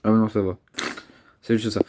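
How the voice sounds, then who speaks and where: Young male gaming creator, congested from a cold; excitable, rambling commentary. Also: lowish voice, a little fast, background noise. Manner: excitable, chatty, repeats words, swears under breath. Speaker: mobile game YouTuber. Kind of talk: casual gameplay commentary.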